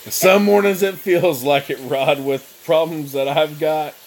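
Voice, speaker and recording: A man's voice: on the high side, measured pace, slight background noise. Joking man in his thirties. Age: 30s. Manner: joking, storytelling banter. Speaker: channel host. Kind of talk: casual livestream chat.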